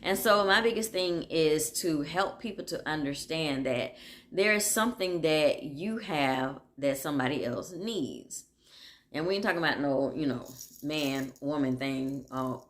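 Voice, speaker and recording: A woman chats in a loose, candid monologue. She laughs easily, drifts off on tangents and pulls herself back, and her voice sits deep for a woman. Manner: chatty and candid, laughs often, drifts off track. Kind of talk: casual monologue.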